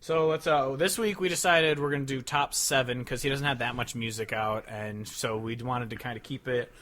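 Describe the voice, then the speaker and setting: A man's voice: medium pitch, steady tone, normal speed, fairly bright tone. A man co-hosting a casual music podcast, talking informally and swearing freely. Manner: informal, profane. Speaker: podcast co-host.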